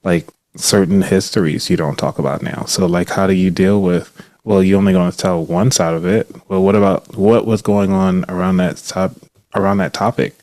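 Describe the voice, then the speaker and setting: A man's voice: low-pitched, steady tone, moderate pace, darkish tone, dry recording. A man hosting a podcast, reflecting aloud in conversation with his guest. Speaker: podcast host. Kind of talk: conversational interview.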